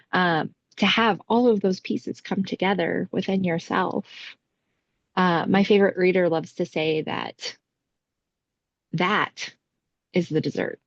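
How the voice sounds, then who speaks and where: A woman co-hosting a podcast, explaining things casually with emphatic, deliberate lists. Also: lowish voice, vocal fry, dry recording, muffled recording. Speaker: podcast co-host.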